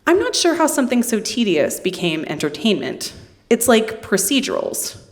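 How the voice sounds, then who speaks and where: A woman's voice: a little high, moderate pace. Wry woman reading with a swooping delivery. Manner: wry, deadpan humour. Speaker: poet reading from own book. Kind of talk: live literary reading with casual asides. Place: live reading at a book launch in a hall.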